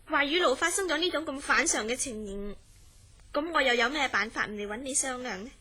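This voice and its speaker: A woman's voice: high voice, expressive, a little fast, sharp tone, heavily acted. A woman playing a concerned young visitor in a horror radio drama, speaking earnestly and theatrically. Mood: concerned, polite.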